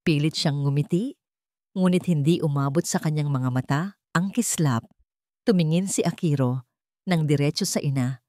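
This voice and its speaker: A woman's voice: deep voice, normal speed, pauses often, mellow, no echo, studio quality. A woman with a Filipino accent reading a scripted dramatic story as a storyteller.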